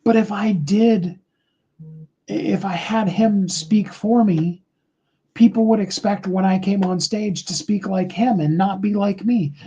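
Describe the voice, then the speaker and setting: A middle-aged man with a high tenor voice, giving a rambling, earnest motivational talk on a live call, full of fillers. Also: fairly even intonation, a little slow, dark tone, lo-fi sound, slight background noise.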